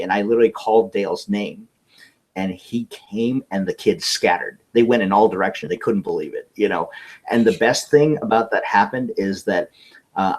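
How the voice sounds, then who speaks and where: Low-voiced earnest storyteller, man. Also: a little mellow. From California. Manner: earnest, often restarts words while telling a story. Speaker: interview guest. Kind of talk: personal storytelling in conversation.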